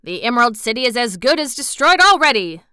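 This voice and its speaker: A woman's voice: fairly high pitch, sing-song, fairly quick, sharp tone, clear, resonant tone, no room sound, studio quality, voice pushed up. A woman voicing a fierce, club-shaking warlord in a storybook, blustering.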